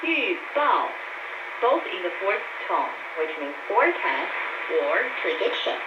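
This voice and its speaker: A woman's voice: medium pitch, sing-song, slow. A woman co-hosting a scripted radio language lesson, explaining things in a didactic way over shortwave. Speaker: language lesson co-host and teacher. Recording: muffled, echoey, very noisy shortwave radio.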